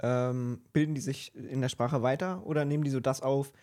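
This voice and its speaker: Casting: male podcast interviewer, medium pitch, wordy conversational questioning. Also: steady tone, normal speed, a little mellow. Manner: wordy, rephrases answers as questions.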